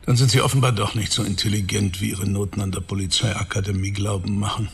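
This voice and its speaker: A man's voice: on the low side, level tone, fast talker, vocal fry. A man playing a stern police chief in a radio drama, commanding, clipped and sardonic. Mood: stern, cutting. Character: stern, cutting male police chief of detectives.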